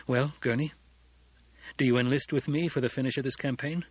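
A man voicing a calm, commanding young desert leader in an audiobook, with a measured, assured delivery. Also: medium pitch, slightly rough, no room sound, muffled recording, pitched above the performer's own voice, natural voice. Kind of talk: audiobook character dialogue.

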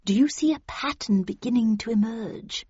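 A prim female group counsellor, put on by a woman reader sounds encouraging yet weary. The audiobook voice is husky, with a slightly dull recording. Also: fairly high pitch, moderate pace, mellow, dry recording. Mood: confiding.

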